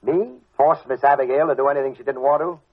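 An actor playing a wisecracking, gruff but decent private detective in a 1940s radio drama, talking in sardonic slang. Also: male voice, medium pitch, fast talker, no echo, close to an everyday voice. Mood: sardonic, annoyed. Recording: muffled old radio drama recording.

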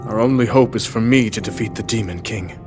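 A man's voice: lowish voice, brisk, natural voice. An actor playing a resolute noble king in a fantasy video game cutscene. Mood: solemn, resolute. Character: resolute noble male king facing a demon foe. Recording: game audio under ominous music.

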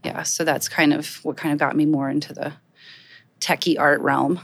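A woman's voice: deep voice, flat delivery, normal speed, husky. A woman from Poland, a guest artist talking casually in an interview, with many ums and likes. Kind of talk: conversational interview.

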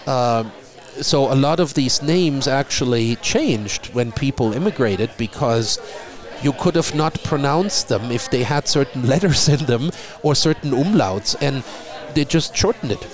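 A middle-aged man from Germany with a German accent, talking in a relaxed conversation and often repeating a word as he starts a thought. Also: mid-range voice, a little slow, a crowd in the background. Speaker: guest. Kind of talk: casual conversation.

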